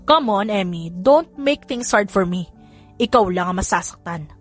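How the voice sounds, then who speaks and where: A woman acts out a cruel, smirking husband in an audiobook drama. The lines are taunting and threatening, with English mixed in, over soft background music. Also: mid-range voice, expressive, normal speed, lightly acted. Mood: cold threatening. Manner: taunting, mixing English into speech.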